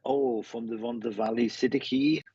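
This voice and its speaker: A man's voice: medium pitch, fairly even intonation, moderate pace, mellow, husky. A man with a Welsh accent chatting casually as a medium hosting a live online show, rambling and often repeating his phrases. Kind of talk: casual live chat, greeting viewers. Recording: muffled roomy online stream audio.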